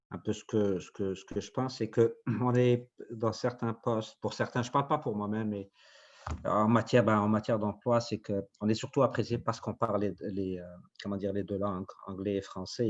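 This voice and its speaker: A man's voice: on the low side, a little mellow, lo-fi sound. A man speaking as a guest on a radio forum discussion, hesitant, with many restarts and fillers. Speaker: panel guest.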